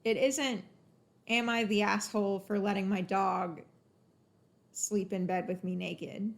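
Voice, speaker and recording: A woman's voice: mid-range voice, steady tone, unhurried, vocal fry. Emphatic, laughing woman. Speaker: podcast guest. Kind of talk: casual podcast chat.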